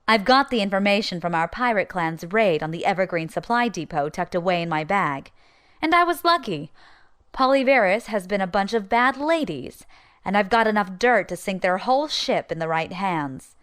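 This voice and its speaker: A woman's voice: medium pitch, animated, normal speed, fairly bright tone, clean tone, natural voice. An actress playing a determined, nervy space pirate, narrating her journal in an audio drama. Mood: determined, scheming. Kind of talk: first-person journal narration.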